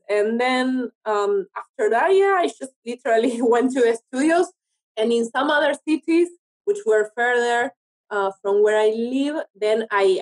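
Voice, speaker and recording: A woman's voice: on the high side, darkish tone, poor audio. The kind of upbeat woman with an Argentine accent you'd hear as a guest on an arts podcast, talking casually about her work. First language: Spanish. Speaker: interview guest, actress and TV producer. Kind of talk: casual interview conversation.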